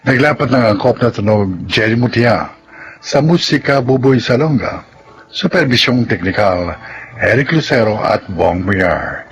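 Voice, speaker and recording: A man's voice: mid-range voice, lively intonation, unhurried, highs cut off. Solemn male drama announcer. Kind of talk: scripted narration. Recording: narration over loud music.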